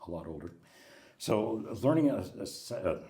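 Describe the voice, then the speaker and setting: Deep-voiced animated American man. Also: normal speed. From USA. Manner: animated storyteller, acts out quoted people, laughs often. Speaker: interview guest. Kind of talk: casual interview chat.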